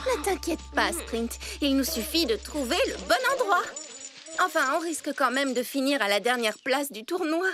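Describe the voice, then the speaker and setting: A woman's voice: high-pitched, lively intonation, moderate pace. A woman voicing a shy, kind-hearted cartoon girl with an earnest, hesitant manner in a French dub. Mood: apologetic. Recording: clear music behind the voice.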